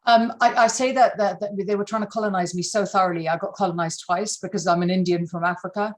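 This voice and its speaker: A woman's voice: medium pitch, fairly even intonation, fast. A middle-aged woman from Malawi, an interview guest speaking candidly and emphatically, often repeating words for stress.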